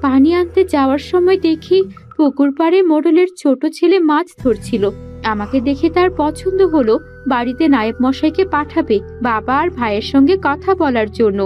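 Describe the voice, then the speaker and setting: A woman's voice: high-pitched, moderate pace, lo-fi sound, soft background music, natural voice. A woman playing a meek, obedient young village girl in a cartoon fairy tale, polite and submissive. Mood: dutiful.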